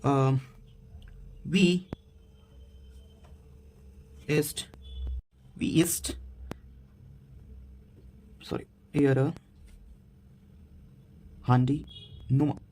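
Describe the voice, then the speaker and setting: A nineteen-year-old man with an Indian accent, teaching a beginner German lesson in a mix of Hindi and English, halting and repeating himself with many fillers. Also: mid-range voice, darkish tone. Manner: slow, halting delivery with many fillers and repetitions.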